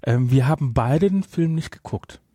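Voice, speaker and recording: A man hosting a podcast in casual chat, often halting and correcting himself as he goes. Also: mid-range voice, animated, warm tone, slightly rough, no echo, studio quality. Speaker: podcast host.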